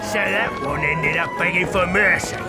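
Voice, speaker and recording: An actor playing a boastful, menacing mercenary sergeant in a fantasy audio drama, with a theatrical swagger. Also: male voice, high-pitched, normal speed, over loud music, natural voice. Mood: boastful, sly.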